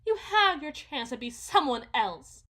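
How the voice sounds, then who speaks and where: A woman playing a delusional, condescending, possessive wealthy mother in a theatrical ASMR roleplay, phrasing everything in a drawn-out, deliberate way. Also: high voice, big pitch swings, bright, forceful tone, full character voice. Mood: fierce, possessive. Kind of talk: ASMR roleplay, dramatic monologue.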